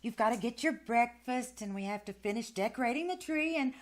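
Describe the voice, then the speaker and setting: A woman's voice: a little high, expressive, brisk, natural voice. A woman playing a kindly, fretful nanny in an old-time radio drama, coaxing in a motherly way. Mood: gently evasive. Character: kindly, fretful nanny soothing a little girl.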